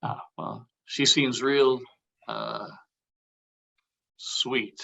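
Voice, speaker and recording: A male actor plays an aging, anxious schemer in a comedy script. He sounds dry and sardonic, with a hesitant, everyday delivery and a mid-pitched voice. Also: steady tone, extremely slow, gravelly, pushed and bright, heavily acted. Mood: dry, resigned. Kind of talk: table read of a comedy script.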